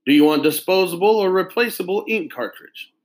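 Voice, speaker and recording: A man voicing a fussy, pompous adult pen salesman who speaks slowly and precisely, like a sales pitch. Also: lively intonation.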